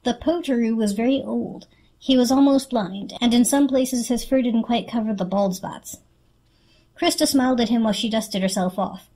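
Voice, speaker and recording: A woman narrating a children's story as an expressive dramatic reading. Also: a little high, moderate pace, rough recording.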